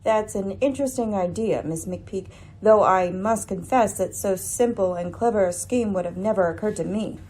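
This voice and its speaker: A woman acts as a lady detective in a radio mystery drama. She is composed and dryly ironic, with a mellow, slightly rough voice and poised, formal phrasing. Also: mid-range voice, normal speed, few pauses, lightly acted, poor audio. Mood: cool, probing. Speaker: actor in an amateur radio play.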